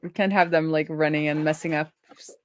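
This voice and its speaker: A woman's voice: low-pitched, steady tone, a little fast. A woman hosting a coding livestream, chatting casually and thinking aloud as she works.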